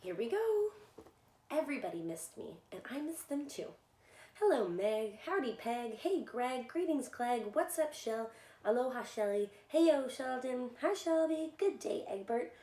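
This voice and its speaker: A woman reading a picture book aloud to young children in an animated, expressive way, often talking directly to them. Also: high voice, measured pace. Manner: animated, addresses listeners with simple questions. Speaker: children's story reader and soccer coach. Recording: echoey room, faint background noise.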